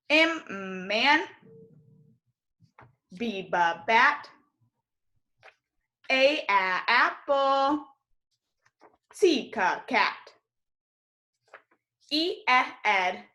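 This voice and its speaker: An American woman leads a lesson for young children in a bright, forceful, fairly high voice. She goes very slowly, enunciating each item with exaggerated clarity. Her manner is that of an encouraging kindergarten teacher running a repeat-after-me drill. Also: expressive.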